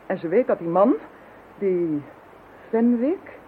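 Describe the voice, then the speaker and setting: Actress as determined woman, animated and forceful. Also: medium pitch, takes it slowly. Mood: assured. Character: fierce, determined woman leading psychic fight against villains. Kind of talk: Dutch audio drama acting. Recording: thin phone-like sound, faint noise.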